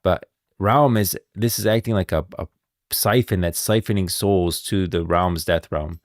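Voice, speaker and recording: Man, low voice, dry close sound, casual livestream commentary, informal, insistent, thinking aloud. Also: unhurried, no echo. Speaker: streamer, game lore commentator.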